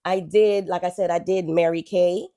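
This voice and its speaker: A woman in her forties from Guyana, a guest telling her life story in a relaxed, chatty way. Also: fairly low pitch, normal speed. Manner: relaxed, chatty, with frequent "um" and "you know".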